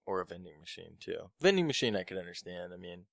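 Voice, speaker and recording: A man's voice: sits low, sing-song, gravelly, fairly bright tone, no echo, studio quality. A man interviewing a guest, asking short curious questions and nodding along. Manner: short curious prompts and quick affirmations.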